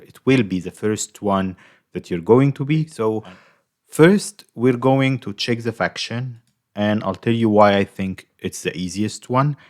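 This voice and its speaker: Young Egyptian man with an Egyptian accent, gaming YouTuber, casual rambling guide talk, slightly low voice. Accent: Egyptian Arabic. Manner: chatty, rambling, many fillers and restarts.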